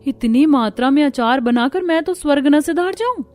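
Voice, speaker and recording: A young, high-pitched cartoon daughter-in-law, acted by a woman, worn out by her in-laws. She grumbles with sarcasm and exasperation, with music playing underneath. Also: lively intonation, normal speed, a little mellow. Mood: dismayed. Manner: complaining, muttering sarcastic asides.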